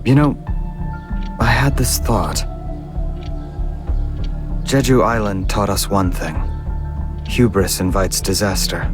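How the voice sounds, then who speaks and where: A worried guild leader guy, anime game, lowish voice, very slow and reflective, music under. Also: steady tone. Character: wistful, worried male Japanese guild leader. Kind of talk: anime game cutscene acting.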